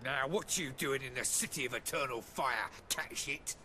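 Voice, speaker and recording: A man acting a harsh, forceful crude thug. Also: medium pitch, moderate pace, a little hiss or hum, close to an everyday voice. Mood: menacing. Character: foul-mouthed, menacing male thug, aggressive and crude. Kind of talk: video game dialogue acting.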